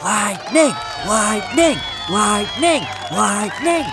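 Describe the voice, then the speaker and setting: A man puts on a folksy male tow truck with a twang, loyal and egging his pal on. The voice is raised in pitch and bouncy, a storybook sidekick over music. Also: lively intonation, very slow, a crowd in the background, exaggerated voice. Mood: chanting. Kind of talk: children's storybook character voice.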